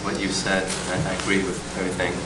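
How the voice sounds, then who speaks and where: A man's voice: sits low, monotone, fast talker, very noisy. A man whose first language is Chinese, speaking reflectively as a panelist.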